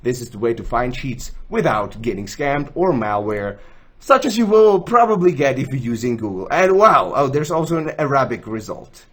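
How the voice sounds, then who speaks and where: Lively young Swiss man explaining. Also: medium pitch, moderate pace. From Switzerland. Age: young adult. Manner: chatty, self-joking, gestures while explaining. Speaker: gaming tools channel host. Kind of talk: screen-recorded tutorial. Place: indoors, small room, gaming chair.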